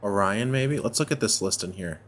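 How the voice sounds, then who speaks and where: A man's voice: fairly low pitch, animated, moderate pace, fairly bright tone. A man giving casual let's play commentary, thinking aloud and often questioning himself as he goes. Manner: musing, self-questioning. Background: background music.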